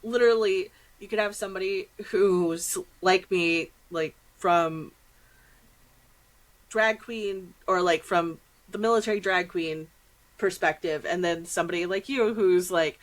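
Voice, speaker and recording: A woman's voice: on the low side, measured pace, poor audio. A middle-aged American woman chatting casually as a podcast host, with slangy asides and acted-out reactions.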